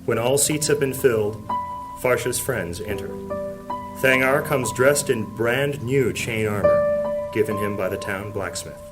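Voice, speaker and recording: A man's voice: lowish voice, a little slow, lightly acted, rough recording. A man narrating a scripted fantasy audio drama as a solemn storyteller. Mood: solemn, descriptive. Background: plucked guitar music.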